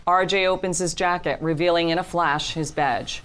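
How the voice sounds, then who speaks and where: A woman narrating a script's stage directions in a deliberate, matter-of-fact way. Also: fairly low pitch, steady tone, clean tone.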